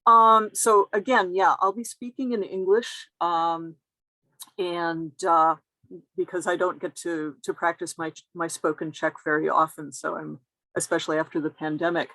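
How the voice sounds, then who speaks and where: Careful, low-voiced woman lecturing. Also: steady tone, normal speed, bright, forceful tone, dry recording, low-quality recording. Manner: careful, explanatory. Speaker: guest lecturer. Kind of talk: academic talk over video call. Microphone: video call.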